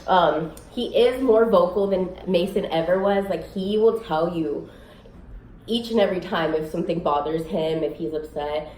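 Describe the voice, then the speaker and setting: A young woman vlogging casually to camera at home, a little sick, rambling with many fillers in a raspy voice. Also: moderate pace, a little mellow. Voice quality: raspy, low voice. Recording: echoey room, faint noise.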